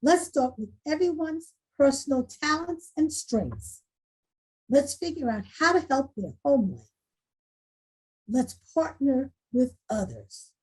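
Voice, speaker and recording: Woman playing an earnest, high-voiced, animated school principal. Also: slow pace, rough recording. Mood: determined, rousing. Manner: declarative, rousing speech-making. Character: earnest, determined female school principal rallying staff and students. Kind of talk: virtual table read of a screenplay.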